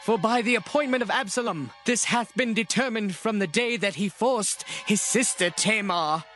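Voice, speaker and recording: An actor playing an earnest, reassuring royal adviser, formal and declamatory, in a dramatized Bible recording. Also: male voice, high-pitched, moderate pace, fairly bright tone, faint music behind. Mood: earnest reassurance. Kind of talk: dramatized Bible audio acting.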